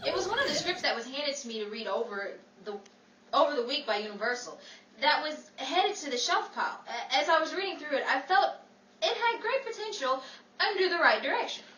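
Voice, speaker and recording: A woman playing a scatterbrained, excitable young wife in a 1940s-style radio comedy, flustered and stammering with a theatrical delivery. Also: high voice, brisk, exaggerated voice. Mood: plain, chatty. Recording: roomy, distant, very noisy, loud crowd behind.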